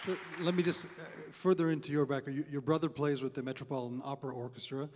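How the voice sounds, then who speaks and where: A man hosting an informal on-stage interview, speaking in a somewhat halting way with repeated words. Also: medium pitch, fairly even intonation, crowd noise, noisy background. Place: on stage in a concert hall. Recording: reverberant concert hall.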